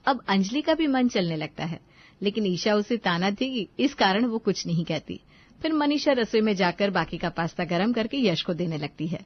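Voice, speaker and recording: A woman's voice: mid-range voice, expressive, moderate pace, lo-fi sound. A woman reading scripted narration for a family cartoon story as the storyteller.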